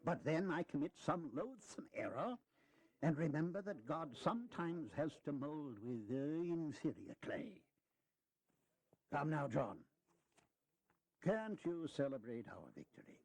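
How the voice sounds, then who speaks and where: Witty old founding father type, really slow and swoopy, theatrical, teasing, audio drama. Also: fairly high pitch, big pitch swings, darkish tone, exaggerated voice. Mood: jovial, teasing. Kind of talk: historical audio drama acting.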